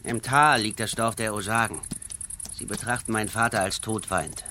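Bright-voiced, guarded western rider. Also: male voice, fairly low pitch, fairly even intonation, normal speed, slight background noise. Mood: guarded, wary. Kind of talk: western radio drama acting.

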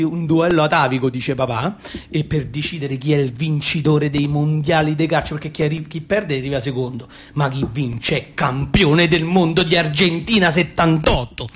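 A man's voice: a little high, normal speed, lightly acted. A man playing a naive, football-mad little boy in a comic radio play, rambling and stumbling in a colloquial Roman accent. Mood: rambling, muddled. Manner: colloquial, stumbling, self-correcting. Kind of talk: comic radio theatre monologue.

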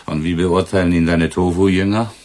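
An actor as a very low-voiced, laconic police inspector. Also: male voice, steady tone, a little fast, darkish tone, lightly acted. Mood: probing. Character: laconic male police inspector transferred to the provinces. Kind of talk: 1990s German radio crime drama.

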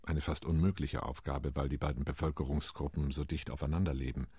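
An actor playing a calm, tactical delegate on a partition committee, speaking in a radio play monologue. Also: male voice, very deep voice, brisk, slightly rough, dry recording. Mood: measured.

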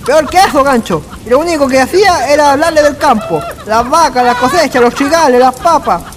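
An actor playing a wry, drunk Chilean village storyteller in a radio drama, colloquial and teasing. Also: male voice, extremely high pitch, brisk. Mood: storytelling.

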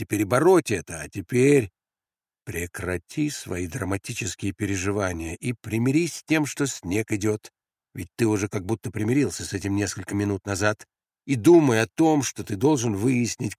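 A man's voice: on the low side, expressive, moderate pace, dry recording, studio quality. A man reading literary prose as a scripted audiobook narration.

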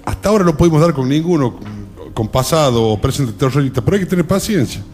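A man's voice: medium pitch, lively intonation, fast, a little mellow, soft background music, close to an everyday voice. A man playing a deferential, hesitant Argentine henchman to a political boss in a satirical radio comedy sketch. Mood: dutiful.

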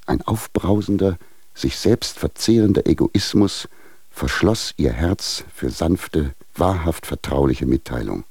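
An actor in a German radio drama playing a stern, sanctimonious guardian, speaking in a pompous, formal, moralizing way with a gravelly bass voice. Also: male voice, lively intonation, unhurried, a little mellow, no echo, full character voice. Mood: stern condemnation. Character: stern, sanctimonious guardian denouncing his wayward ward.

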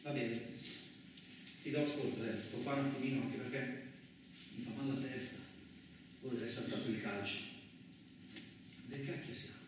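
An actor playing a battered, bewildered truck driver in a theatrical radio monologue, speaking in a halting, repetitive, colloquial way. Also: male voice, mid-range voice, lightly acted. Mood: weary, uneasy. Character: battered, bewildered, rough-spoken Italian truck driver telling his ordeal. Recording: very echoey, distant, clearly noisy recording.

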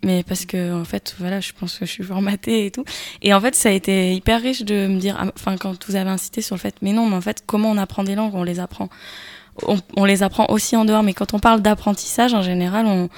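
A woman's voice: mid-range voice, fairly even intonation, quick pace, a little mellow, no room sound. A woman in a casual radio discussion, talking in a thoughtful, rambling way and often correcting herself. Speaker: radio show panelist.

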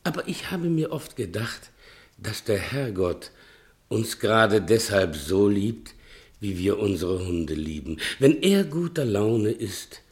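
An actor playing an obsequious, chatty servant who muses ponderously and self-pityingly in a 1960s German radio play. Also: male voice, fairly low pitch, expressive, slow. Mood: musing. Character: servile male servant, chatty philosophising tippler, self-pitying.

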